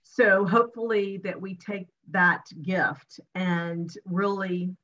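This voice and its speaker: A middle-aged woman speaking as a guest on a video-call panel from her home, upbeat, citing figures and laughing quickly. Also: on the low side, fairly even intonation, pushed and bright, highs cut off. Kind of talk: casual panel discussion. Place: home room with windows and bookshelf. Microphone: video call webcam.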